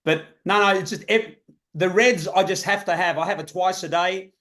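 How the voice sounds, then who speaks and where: A man's voice: sits high, fairly even intonation, moderate pace, pushed and bright. A man on a video call, chatting casually and rambling a little with hesitations. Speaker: guest affiliate.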